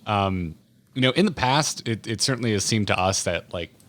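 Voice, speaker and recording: A man's voice: lowish voice, lively intonation, normal speed, fairly bright tone. A man hosting a podcast, putting conversational interview questions to guests. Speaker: podcast host.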